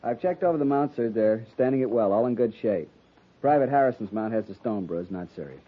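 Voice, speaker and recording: A man's voice: mid-range voice, animated, fairly quick, natural voice. A man playing an earnest, respectful young cavalry lieutenant in a 1950s radio drama, formal and deferential in his address. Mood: respectful, dutiful. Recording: muffled, noisy 1950s radio recording.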